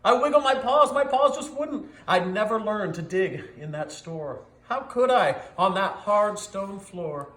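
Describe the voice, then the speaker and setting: High-pitched man playing a big clumsy dog, eager, dramatic, rhythmic storybook telling, echoey room. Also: expressive, a little slow, few pauses, a bit bright. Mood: frustrated. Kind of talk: animated storybook read-aloud. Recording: echoey, roomy sound.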